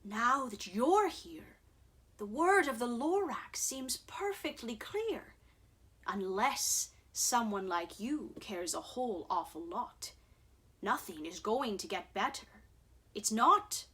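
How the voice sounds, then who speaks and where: A woman plays a greedy old industrialist from a storybook, brooding over what he has done and defiant about it. The delivery is emphatic and dramatic, with a forceful, bright edge and words hammered home for effect. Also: very high-pitched, big pitch swings, slow, breathy edge, heavily acted. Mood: grave, urgent. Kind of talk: storybook character reading.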